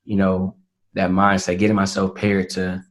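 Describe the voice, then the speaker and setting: An eighteen-year-old man speaks as an interview guest. His voice sits a little low for his age, and he answers in an earnest, measured way, often pausing on "um" and "you know.". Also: completely flat delivery. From Atlanta, Georgia, USA. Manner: earnest, measured, frequent fillers. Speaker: interview guest, young entrepreneur. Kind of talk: casual interview talk.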